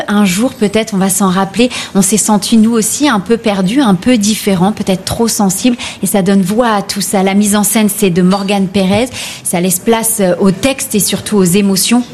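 A woman's voice: medium pitch, a little mellow. Female radio critic, mid-range voice, composed review delivery, slight background hiss. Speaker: theatre critic. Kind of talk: scripted theatre review on radio.

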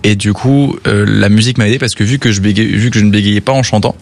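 A man's voice: on the low side, fairly even intonation, fast, vocal fry. A young man, a singer, talking in a radio interview, hesitant with fillers and restarts. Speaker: singer guest.

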